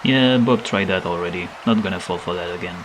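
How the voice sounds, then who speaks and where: A man's voice: sits low, a little fast, a little hiss or hum, close to an everyday voice, rough recording. A man playing a cool, sardonic demon goat wrestler who gives dry retorts.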